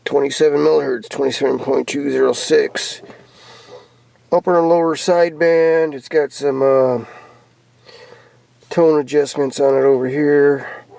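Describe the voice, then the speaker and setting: A man's voice: a little high, a little slow, vocal fry, pauses often, darkish tone. An American man giving a casual, rambling hobbyist show-and-tell in a plainspoken, folksy, laid-back way. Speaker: hobbyist vlogger showing off CB radio gear. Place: in or around a pickup truck. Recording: noisy handheld camera recording with clicks.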